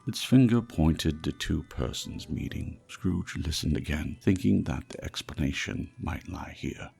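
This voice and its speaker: Male audiobook narrator, low and husky, dramatic storytelling, music underneath. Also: lively intonation, measured pace, darkish tone. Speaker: audiobook reader. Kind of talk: dramatic scripted narration of a classic ghost story.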